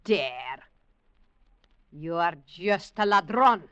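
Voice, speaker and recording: A woman's voice: fairly low pitch, animated, drawn-out words, a bit bright, clear, resonant tone, no echo, heavily acted. An actress playing a fierce, weary, defiant woman of a port underworld in a 1940s radio drama, theatrical and commanding. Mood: scornful. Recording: restored 1940s radio broadcast, audible noise.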